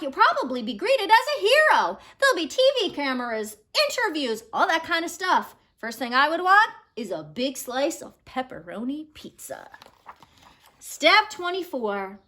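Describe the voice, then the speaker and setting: A woman in her thirties reading a children's picture book aloud in an expressive storytelling manner, drawing out words. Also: high voice. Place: small home room, indoors.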